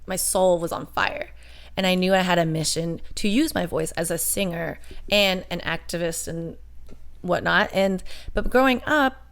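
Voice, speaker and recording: American lady cohosting a chat show, bubbly and laughy, kinda gravelly. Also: lowish voice, normal speed, fairly bright tone. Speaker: podcast co-host. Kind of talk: casual chat.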